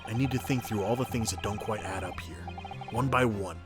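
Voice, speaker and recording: An earnest young male lawyer, acted by a man for a courtroom video game. He sounds nervous yet sincere as he reasons his way through an argument. Music runs clearly behind the voice. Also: lowish voice, brisk, close to an everyday voice. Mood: thinking hard. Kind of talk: dramatic video game dub. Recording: music under the voice.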